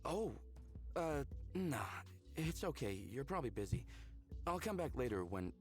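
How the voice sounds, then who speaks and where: A man voicing an awkward, apologetic young male visual novel character who talks haltingly and with curiosity. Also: a little high, very animated. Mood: sheepish. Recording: music behind the voice. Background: background music.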